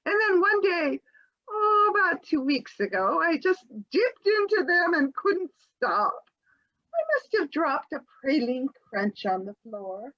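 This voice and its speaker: A woman plays a genteel, evasive housewife in a comic readers theater sketch, captured in dull, lo-fi audio. Her high voice swoops and flutters through theatrical, over-polite excuses. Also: lively intonation, unhurried. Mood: flustered.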